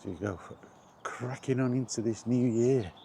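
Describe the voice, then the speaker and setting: A man's voice: medium pitch, normal speed, dark tone, a little hiss or hum. A British man giving a rambling, casual vlog chat in a blunt, opinionated manner. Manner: opinionated, blunt, mildly sweary. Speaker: video blogger.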